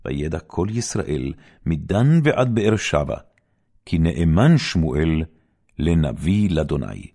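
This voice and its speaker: A man giving a solemn, measured, dramatic reading of scripture as an audiobook narrator. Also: very deep voice, sing-song, dark tone.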